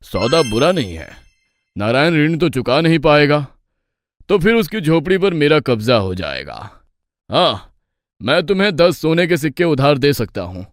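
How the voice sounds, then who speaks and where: A man's voice: on the high side, sing-song, moderate pace, dry recording, clean studio sound. A man playing a shrewd, probing village moneylender. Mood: calculating. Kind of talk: Hindi moral-story cartoon dialogue.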